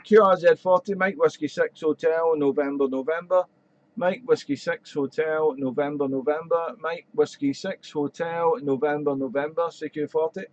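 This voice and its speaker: A man chatting on amateur radio from his radio shack, rambling and friendly, his voice slightly croaky. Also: fairly high pitch, fairly even intonation, moderate pace, a little mellow, lo-fi sound, slight background noise. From Wales. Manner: chatty, rambling, fills with "there". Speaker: ham radio operator. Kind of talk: casual radio chat.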